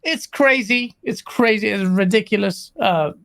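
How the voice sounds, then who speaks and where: Gravelly-voiced man, playfully combative. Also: high voice, a little slow, fairly bright tone. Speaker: podcast co-host. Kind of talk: casual podcast chat.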